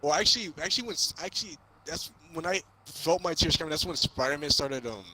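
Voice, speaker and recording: A young man chatting casually while gaming, telling things in a rambling, halting way. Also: fairly high pitch, animated, bright, forceful tone, poor audio. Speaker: gamer reviewing a film with a friend. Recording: crowd and ball bounces from game. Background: basketball video game crowd noise.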